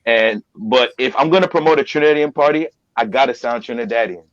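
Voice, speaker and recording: A man's voice: medium pitch, fast, lo-fi sound. A man guesting on a podcast as a voiceover artist, talking earnestly and repeating phrases for emphasis. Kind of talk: casual interview talk.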